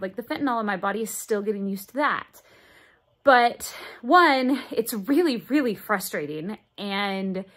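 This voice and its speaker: A woman in her thirties records a casual video diary on a phone at arm's length in her bedroom. She speaks in a halting way, with frequent fillers as she hunts for the right words. Her pitch sits at a middle level for a woman. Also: a bit bright. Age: 30s. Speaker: vlogger. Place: bedroom indoors, moving boxes behind. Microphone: phone held at arm's length.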